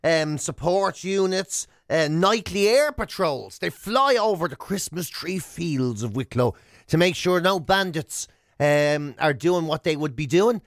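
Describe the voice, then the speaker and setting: A man's voice: on the high side, animated, unhurried, no echo, clean studio sound. An Irish man co-hosting a radio show, talking in casual, jokey banter. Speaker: radio show and podcast co-host.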